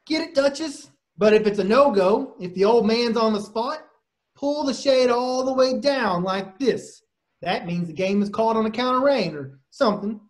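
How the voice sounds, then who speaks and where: A man's voice: very high voice, lively intonation, normal speed. A man playing a pushy, slangy vaudeville trouper in a stage play table read. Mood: brisk, instructing.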